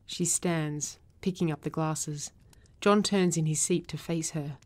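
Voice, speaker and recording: Low-voiced woman narrator. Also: fairly even intonation, unhurried, a little mellow. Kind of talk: scripted narration of stage directions.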